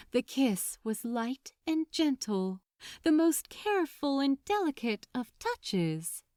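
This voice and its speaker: Slow, expressive high-voiced woman narrator. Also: dry recording, clean studio sound. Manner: deliberate, dramatic pauses between phrases. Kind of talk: dramatic scripted story narration.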